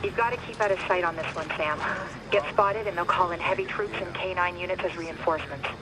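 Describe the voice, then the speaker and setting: A woman playing a cool, businesslike mission handler in a video game, giving crisp instructions. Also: lowish voice, a little fast, heavy background noise, natural voice. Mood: cautioning. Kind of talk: cinematic video game acting.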